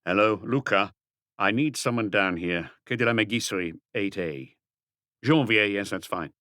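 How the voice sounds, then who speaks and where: A man voicing a calm, authoritative chief inspector in an audiobook, measured, terse and businesslike. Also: fairly low pitch, dry recording, studio quality. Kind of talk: audiobook character dialogue.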